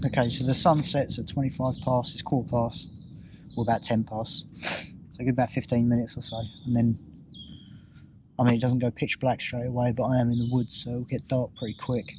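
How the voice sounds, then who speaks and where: A British man, slightly hoarse, talking casually to camera outdoors in the woods, rambling and thinking aloud with many ums and restarts. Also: medium pitch, flat delivery, fairly quick, noisy background. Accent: British English. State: slightly hoarse, losing his voice. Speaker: outdoors vlogger. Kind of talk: casual vlog talk to camera.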